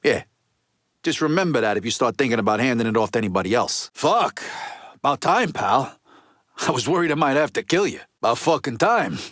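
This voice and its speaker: An actor playing a gruff, resentful mob lieutenant in a video game, with an American accent and a blunt, streetwise, foul-mouthed way of talking. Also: male voice, medium pitch, very animated, fairly quick, a bit bright, dry recording, studio quality. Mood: guarded, then blunt, wary. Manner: blunt, streetwise, heavy swearing.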